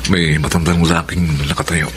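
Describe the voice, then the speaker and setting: An actor playing a suave, flirtatious man, a smooth-talking playboy teacher in a Filipino radio drama. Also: deep voice, flat delivery, fairly quick, over music, natural voice. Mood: searching, polite.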